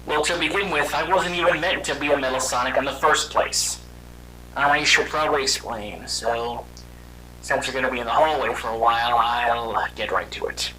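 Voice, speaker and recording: A man's voice: medium pitch, fairly even intonation, bright, forceful tone, close to an everyday voice. Man voicing a weary robot hedgehog, noisy recording. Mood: somber, reflective. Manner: conversational, halting, dramatic storytelling. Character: weary young male robot hedgehog creature recounting his past. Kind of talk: animated fan audio drama dialogue.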